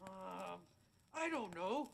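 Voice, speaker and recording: A hulking, doting lug with a gravelly creak and lively swings of pitch. The phrases are short and blunt, in radio-comedy style on a noisy recording. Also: female voice, slow pace. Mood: reluctant. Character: big lug of a monstrous, doting tough brute. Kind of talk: old-time radio comedy acting.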